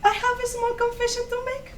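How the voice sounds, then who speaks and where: Mischievous elderly Cuban mother, woman performer, very high pitch, stage reading, distant roomy sound. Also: monotone, brisk. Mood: gleeful confessing. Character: playful, sociable old Cuban mother, mischievous. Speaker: student actor in a dramatic reading. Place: echoey room at a live performance. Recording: roomy, distant mic, faint noise.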